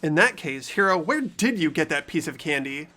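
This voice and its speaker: Lively young man narrating a game. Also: on the high side, normal speed, faint music behind. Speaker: narrator and player.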